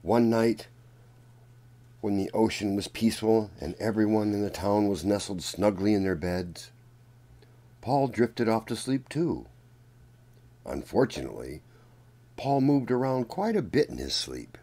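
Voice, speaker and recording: A man reading a children's story aloud in a deliberate, expressive storytelling manner. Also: on the low side. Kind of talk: read-aloud storybook narration.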